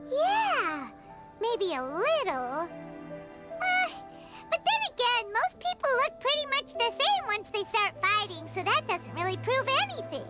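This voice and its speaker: A woman voicing a small, excitable floating girl companion who talks about herself in the third person and judges people quickly. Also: very high voice, full character voice. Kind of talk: game cutscene voice acting. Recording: game soundtrack music behind.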